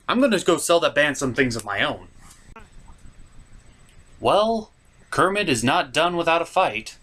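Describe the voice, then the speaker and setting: A man's voice: medium pitch, a bit bright. A man hosting a comedic review, narrating a recap in a theatrical way with playful asides. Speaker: host of a puppet show review series.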